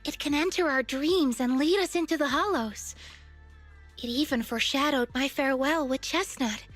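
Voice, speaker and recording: A woman's voice: sits high, normal speed, a bit bright, soft background music. A woman voicing a curious, eager young girl researcher in a fantasy video game, musing earnestly. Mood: earnest, pondering.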